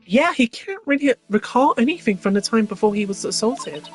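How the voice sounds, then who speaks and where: A woman voicing a spunky, emotional young female rookie lawyer in a video game dub, animated and eager. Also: on the high side, fairly quick, faint music behind, voice pushed up, natural voice. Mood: earnest.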